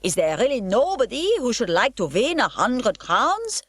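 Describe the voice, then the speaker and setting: A woman's voice: medium pitch, big pitch swings, moderate pace, no room sound, voice pushed up. A woman voicing a blustering foreign male circus ringmaster, calling out to the crowd in broken showman's grammar. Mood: booming.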